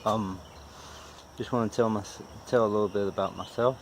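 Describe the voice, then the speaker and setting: Low-voiced man with a stutter. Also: steady tone, slight background noise. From West Virginia, United States. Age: 40s. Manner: halting, self-deprecating, folksy. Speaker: vlogger, amateur car mechanic. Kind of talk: casual unscripted vlog talk.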